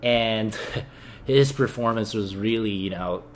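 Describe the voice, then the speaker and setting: A man's voice: fairly low pitch, takes it slowly, a little hiss or hum. A man in his twenties co-hosting a casual film review in a small living room, talking with exasperated scorn. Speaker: film review show co-host.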